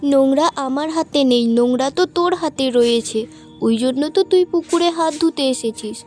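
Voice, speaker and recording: A woman's voice: sits high, fairly quick, a little mellow, soft background music, natural voice. A woman voicing a stubborn, devoted village girl in a cartoon, insistent and earnest. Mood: defiant. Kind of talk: cartoon voice acting, dramatic dialogue.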